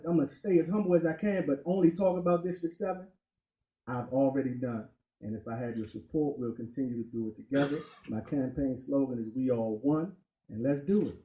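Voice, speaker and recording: A man speaking as a candidate at a community meeting, emphatic and deliberate, pausing on key points. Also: a little high, mellow. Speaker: county council candidate. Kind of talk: campaign speech at community meeting. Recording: echoey meeting room.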